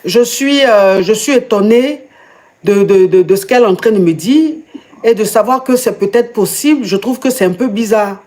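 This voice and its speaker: A woman's voice: mid-range voice, normal speed, darkish tone. A woman with an Ivorian French accent hosts a live call-in advice chat, asking many questions and stammering repeats in disbelief. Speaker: host and coach.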